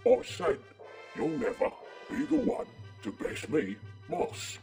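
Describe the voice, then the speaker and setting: A thickly accented British supervillain, in an audio superhero parody. His slurred, indignant threats swing wildly in pitch and sit rather high, with faint music behind. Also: wildly swooping pitch, takes it slowly, creaky, dark tone, full character voice. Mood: menacing, indignant. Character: foul-mouthed British comic supervillain, indignant and menacing.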